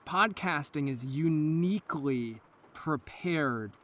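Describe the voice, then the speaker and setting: Slow, dramatic man explaining in an interview. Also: a little high, expressive, fairly bright tone. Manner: dramatic, emphatic, deliberate phrasing. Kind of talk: panel interview talk.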